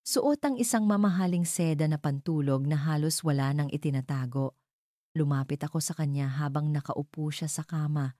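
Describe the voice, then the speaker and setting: A woman narrates a scripted romantic drama in the first person. Her voice sits low and has a soft, dark tone. The sound is close and dry, with no room on it. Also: no room sound. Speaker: story narrator.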